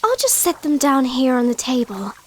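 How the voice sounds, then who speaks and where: A man voicing a shy, sweet young female seapony in a storybook reading, soft-spoken, gentle and coy. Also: extremely high pitch, big pitch swings, normal speed, voice pushed up, exaggerated voice.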